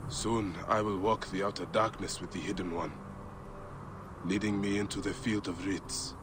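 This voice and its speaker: An actor playing a grieving ancient Egyptian warrior in a video game, speaking in a halting, solemn, deliberate way. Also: male voice, deep voice, steady tone, close to an everyday voice. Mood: anguished, solemn. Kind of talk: dramatic video game acting. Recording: clearly noisy ambient game soundtrack.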